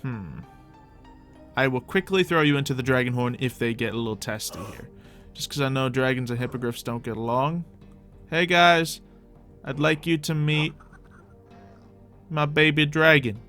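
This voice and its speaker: A man with a middle-pitched voice hosts a gaming video over background music. He talks casually and off the cuff, thinking aloud and chatting to characters in the game as he roleplays. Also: normal speed, long pauses, fairly bright tone. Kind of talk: casual improvised Minecraft roleplay commentary.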